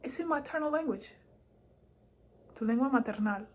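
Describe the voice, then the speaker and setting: A woman's voice: high-pitched, animated, measured pace. A young woman from Spain, chatting casually to camera as a vlogger in a playful, laughing way, drifting between languages. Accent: Madrid Spanish.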